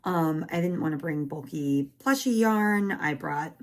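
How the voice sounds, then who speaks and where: Casting: woman, slightly low-pitched, voice going hoarse, candid rambling maker vlog. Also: unhurried. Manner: chatty and candid, crocheting while talking. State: losing her voice. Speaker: crochet maker and small business vlogger. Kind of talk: casual rambling vlog chat. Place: home craft room.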